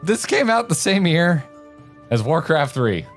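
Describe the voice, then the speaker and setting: A man co-hosting a video game show, talking casually in an animated, rambling and opinionated way. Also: on the high side, a little slow, faint music behind. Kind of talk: casual chat and commentary.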